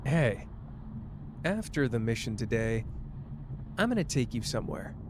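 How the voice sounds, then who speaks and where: Roleplay ASMR: male actor as young sorcerer partner, playful, protective, casual. Unhurried, lively intonation. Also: mid-range voice, background noise. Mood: upbeat, casual. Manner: teasing, casual, tender. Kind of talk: roleplay ASMR acting, addressed to the listener.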